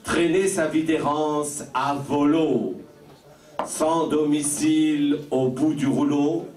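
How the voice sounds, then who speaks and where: A man's voice: a little high, steady tone, takes it slowly, a little mellow. A man reciting slam poetry at a live session. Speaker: slam poet. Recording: echoey room, distant microphone.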